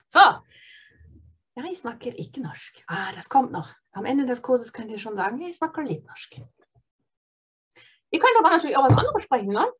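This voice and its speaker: A woman teaching an online beginner Norwegian course, cheerful and encouraging, modelling phrases slowly and clearly. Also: high voice, big pitch swings, lo-fi sound. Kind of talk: online beginner language lesson.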